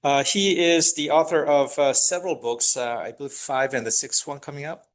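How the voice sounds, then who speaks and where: A man's voice: medium pitch, fairly even intonation, moderate pace. A man hosting a lecture evening, introducing the guest speaker with many hesitations. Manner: hesitant, many fillers, reading out credentials.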